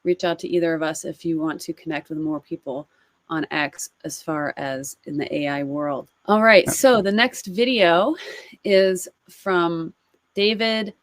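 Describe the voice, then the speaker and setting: A woman co-hosting a casual podcast, friendly and chatty, with a somewhat low voice. She laughs easily as she walks through what comes next. The mic is close and dry. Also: measured pace, no room sound. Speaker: podcast co-host. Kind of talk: casual chat reacting to videos.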